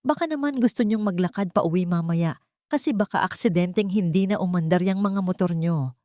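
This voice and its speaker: Young male Filipino mechanic, female narrator acting, audio romance story; calm, courteous, controlled, firm. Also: fairly low pitch, brisk, no echo, clean studio sound, natural voice. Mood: calm warning. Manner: quiet, polite, controlled.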